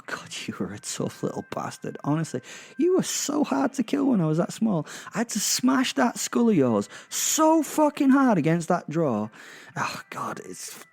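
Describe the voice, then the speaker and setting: Man as a mellow, wry, remorseless werewolf killer. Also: extremely high pitch, vocal fry, full character voice. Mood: amused, callous. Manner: wry, deliberate, musing, sweary. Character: remorseless male werewolf killer, wry, cocky, centuries old. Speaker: narrator and protagonist. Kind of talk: first-person dramatic narration.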